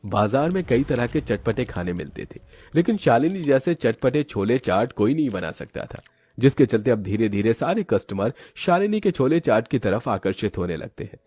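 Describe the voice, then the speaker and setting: A man's voice: medium pitch, big pitch swings, normal speed, a little mellow, husky. A man narrating a scripted moral story for children.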